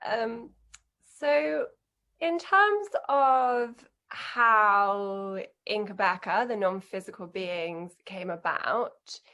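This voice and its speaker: A woman's voice: mid-range voice, very slow, pushed and bright. A woman hosting an interview over a video call, asking encouraging questions and laughing along with her guest. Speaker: interviewer, channel host. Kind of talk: casual interview.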